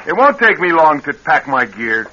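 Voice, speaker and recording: A hard-bitten, sardonic ex-con with a very sharp, forceful edge to the voice. He speaks tersely and bitterly, in classic radio crime drama style, on a dull recording with faint hiss. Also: on the high side, big pitch swings, moderate pace. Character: bitter hard-bitten male ex-convict, resentful and weary.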